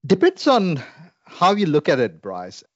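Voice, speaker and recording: A man's voice: fairly high pitch, huge pitch swings, unhurried, no echo, lo-fi sound. A man from India with an Indian English accent, a Salvation Army minister talking earnestly and reflectively, with frequent fillers. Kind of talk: conversational interview.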